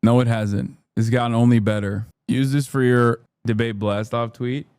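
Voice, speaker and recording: Man, 30s, streamer, sarcastic mocking commentary, mid-pitched, dry sound. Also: steady tone, moderate pace, a little mellow, smooth, no room sound. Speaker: streamer host. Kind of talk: casual reaction-stream commentary.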